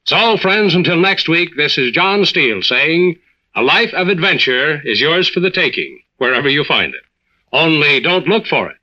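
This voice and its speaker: An actor playing a genial, considerate adventurer who hosts and narrates an old radio drama. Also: male voice, a little high, big pitch swings, moderate pace, sharp tone, exaggerated voice. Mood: genial, intriguing. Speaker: series lead and narrator-host. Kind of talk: 1940s-50s radio drama and hosting. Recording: muffled old radio recording.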